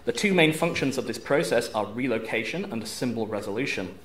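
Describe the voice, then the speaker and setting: A man's voice: medium pitch, lively intonation, a little fast, a bit bright. A man reading quiz questions formally as quizmaster, enunciating carefully in a live room. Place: university room at a quiz tournament. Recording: live room with background noise.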